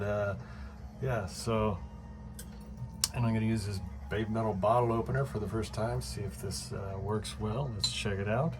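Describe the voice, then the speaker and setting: A man vlogging casually at home into his phone camera, chatty and excitable, with many fillers and frequent laughs. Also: lowish voice.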